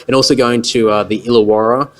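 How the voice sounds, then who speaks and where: An Australian man guesting on a podcast, telling stories in a relaxed way with plenty of fillers, in a pub. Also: medium pitch, fast talker. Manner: relaxed storyteller, many fillers. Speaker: guest, band member. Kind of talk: casual chat. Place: pub with stools and round tables.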